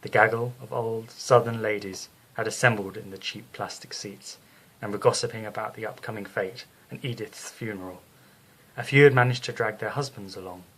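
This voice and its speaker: A man narrating a scripted bedtime story as the storyteller, in a measured, descriptive way. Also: fairly low pitch, fairly even intonation, fairly bright tone, poor audio.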